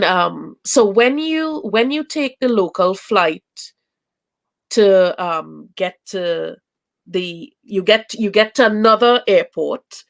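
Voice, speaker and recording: Young woman from Trinidad, guest on a video call, chatty and meandering, mid-pitched voice. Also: vocal fry. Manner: chatty, many fillers and restarts. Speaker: interview guest, traveling parent. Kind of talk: casual video chat.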